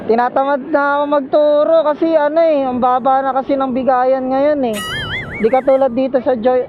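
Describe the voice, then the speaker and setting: A man's voice: very high-pitched, steady tone, moderate pace, music in the background. A man chatting casually and teasingly as a motorcycle taxi vlogger, recorded on a helmet intercom outdoors. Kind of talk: casual vlog chat. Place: outdoors, riding a motorcycle in traffic. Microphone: helmet intercom headset. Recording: tinny intercom mic, loud road noise.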